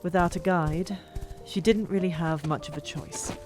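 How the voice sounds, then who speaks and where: Female audiobook narrator, lower register, dramatic fantasy storytelling with deliberate pauses, set over prominent music. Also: steady tone, darkish tone. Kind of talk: dramatic scripted fiction narration. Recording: music behind, older microphone.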